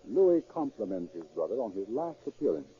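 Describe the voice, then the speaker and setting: A man's voice: fairly low pitch, big pitch swings, brisk, warm tone. A man announcing and narrating in scripted 1930s radio style, formal and declamatory. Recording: tinny 1930s radio recording.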